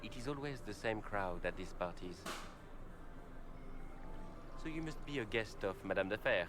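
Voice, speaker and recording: A man voicing a bored aristocratic party guest with a smooth, languid manner, in game audio. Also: fairly low pitch, expressive, normal speed, a bit bright.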